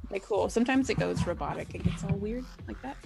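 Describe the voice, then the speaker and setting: Gravelly, chatty young woman. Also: mid-range voice, expressive, fast talker, over music. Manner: chatty, scattered, self-correcting. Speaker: player and streamer. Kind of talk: casual stream shout-out.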